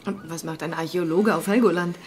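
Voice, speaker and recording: An actress playing a bewildered, defiant newcomer in a naturalistic radio drama, hesitant and questioning. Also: female voice, fairly low pitch, fairly even intonation, fast talker, natural voice. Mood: hesitant, curious. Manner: hesitant, questioning, indignant when pushed.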